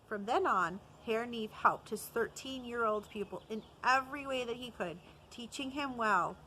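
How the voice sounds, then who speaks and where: Teacher lady reading a kids' story out loud, bright voice, steady pace. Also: on the high side, a little hiss or hum, rough recording. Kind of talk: storybook read-aloud narration.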